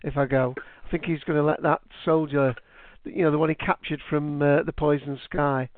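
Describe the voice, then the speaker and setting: A man's voice: fairly high pitch, little pitch movement, moderate pace, no echo. A British man co-hosting a podcast, chatting casually and laughing often. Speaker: podcast co-host. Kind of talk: casual chat over a call. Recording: tinny phone-like line.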